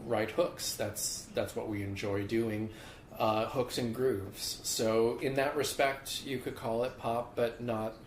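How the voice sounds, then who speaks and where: A man talking in a relaxed, measured way with many fillers and restarts, as a musician guest in an interview. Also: mid-range voice. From born in South Africa, raised in Philadelphia. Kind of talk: casual interview talk. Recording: echoey room with faint background noise.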